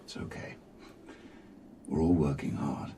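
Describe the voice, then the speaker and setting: A man's voice: very deep voice, unhurried, warm tone, in a whisper. A man playing a mild, supportive colleague in casual, reassuring dialogue for a game. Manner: apologetic, reassuring.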